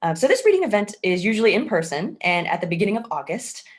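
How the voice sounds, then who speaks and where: A woman's voice: mid-range voice, very animated, fast. A woman hosting a virtual event on a video call, speaking casually with a wry, self-deprecating manner. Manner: informal, wryly self-deprecating. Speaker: event host and playwright. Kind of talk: casual introduction to a virtual staged reading.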